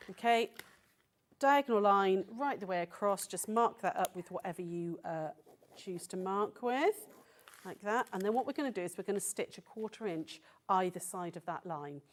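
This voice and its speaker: Brief: woman, mid-pitch voice, croaky from a cold, patient quilting demonstrator who keeps checking "okay?". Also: expressive, moderate pace. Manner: patient step-by-step instruction with frequent "okay?" checks. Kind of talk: sewing demonstration. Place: TV studio.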